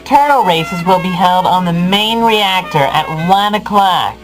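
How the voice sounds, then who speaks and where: A woman playing a calm, impersonal public-address announcer in a comedic radio drama, measured and official. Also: lowish voice, music in the background, low-quality recording. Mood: detached.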